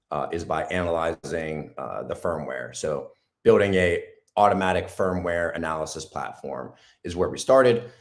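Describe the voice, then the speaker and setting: A man with a hoarse, raspy voice, talking casually as an interview guest with many ums and restarts. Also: deep voice. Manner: halting, lots of ums and restarts.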